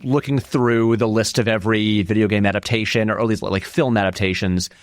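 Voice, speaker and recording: A male podcast guest with a slightly low voice, recorded close and dry. He chats casually, working through his thoughts out loud in a halting, conversational way. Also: steady tone, dry recording. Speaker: podcast guest, film essayist.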